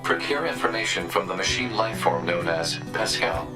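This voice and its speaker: A man's voice: sits low, steady tone, normal speed, over music, close to an everyday voice, rough recording. A man voicing an emotionless support robot in a video game, speaking in formal, mechanical, clipped phrases. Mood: impassive. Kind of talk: video game cutscene acting.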